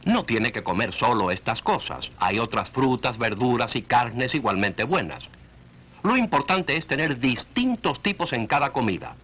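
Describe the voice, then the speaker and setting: Male narrator for a 1940s health short: instructive, lively intonation, addresses the viewer directly, muffled old film sound. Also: mid-range voice, moderate pace. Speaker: narrator of an educational cartoon. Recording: dull, band-limited 1940s film soundtrack.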